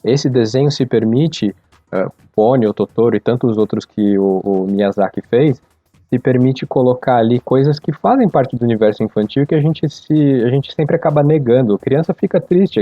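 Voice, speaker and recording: A man's voice: mid-range voice, normal speed, no echo, faint music behind. A man with a Brazilian accent speaking casually and earnestly on a podcast, in a reflective way. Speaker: podcast participant. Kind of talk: casual spoken recommendation. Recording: slightly dull, lo-fi microphone sound.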